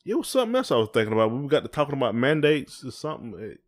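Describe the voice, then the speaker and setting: A man's voice: medium pitch, sing-song, racing. Male podcast co-host, mid-range voice, casual and folksy, colloquial phrasing. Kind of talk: casual conversational podcast chat.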